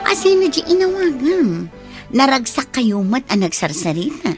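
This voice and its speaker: A woman's voice: high voice, sing-song, normal speed, faint music behind. A woman acting a headstrong, lovesick young daughter and boss with a Filipino accent in a radio drama. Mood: coaxing, bossy.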